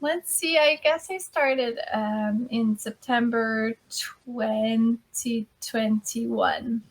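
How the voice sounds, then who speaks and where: A slow, unhurried woman with a slightly raised voice, talking on a video call. She chats casually as an English tutor and explains sounds patiently, with easy laughter. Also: fairly high pitch, steady tone, slight background noise, rough recording. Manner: chatty, explains pronunciation patiently, laughs often. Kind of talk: casual conversation class. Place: standing at home during a video call.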